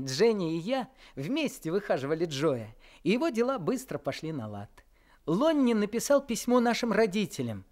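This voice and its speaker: An earnest, emotional teenage boy narrates his own story. The pitch moves in a lively way. The delivery is from a classic radio play. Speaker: narrator and main character.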